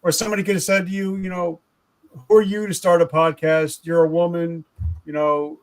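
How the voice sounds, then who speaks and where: A man's voice: fairly high pitch, flat delivery, fairly quick, low-quality recording. A man hosting a podcast, asking questions enthusiastically with frequent ums and restarts. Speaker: podcast host. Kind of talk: interview questions.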